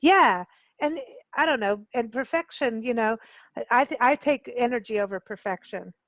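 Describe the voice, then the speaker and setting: Higher-voiced woman hosting a casual interview. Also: normal speed, fairly bright tone, no echo. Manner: informal, rambling questions. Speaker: radio show host.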